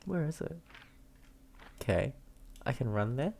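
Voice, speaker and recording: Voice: mid-range voice, very animated, a little slow, creaky, mellow, a little hiss or hum. A gaming streamer chatting casually while playing, enthusiastic and rambling in reactions and commentary. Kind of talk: casual chat, reacting to gameplay. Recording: game music behind.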